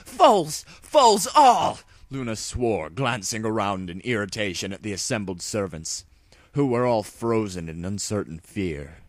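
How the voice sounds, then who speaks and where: A man's voice: lowish voice, normal speed, dry recording. A man narrating fan fiction in a mock-serious, theatrical audiobook style.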